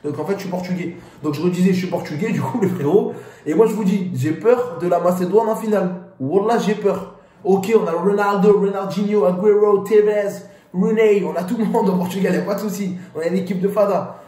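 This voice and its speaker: A man's voice: sits high, a little fast. A man giving a casual, slangy pre-match football rant as host of his channel. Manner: animated, slangy street talk. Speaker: football YouTuber. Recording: echoey room.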